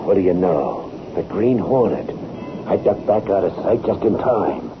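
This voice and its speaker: An actor playing a tough, cocksure hired killer in an old radio drama, talking in blunt streetwise slang. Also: male voice, sits low, animated, measured pace. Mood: uneasy. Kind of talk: 1930s-40s radio acting. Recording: muffled, noisy vintage radio recording.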